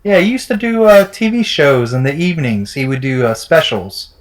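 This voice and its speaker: A man hosting a crypto game livestream, talking in an energetic, promotional way. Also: on the high side, moderate pace. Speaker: livestream host.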